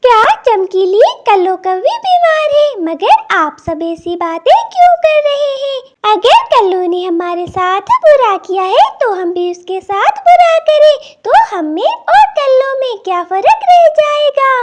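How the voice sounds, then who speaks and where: A kind, forgiving female bird, speaking slowly in an extremely high voice. The manner is earnest and caring, in children's cartoon style, on a very noisy recording. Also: very animated, heavily acted.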